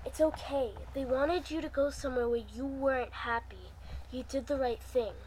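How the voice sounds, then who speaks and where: Girl reading as worried nice office guy, earnest, bit hesitant, highish voice. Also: normal speed, darkish tone, slight background noise, lightly acted, poor audio. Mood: gentle, concerned. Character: anxious, caring young male office colleague in a story.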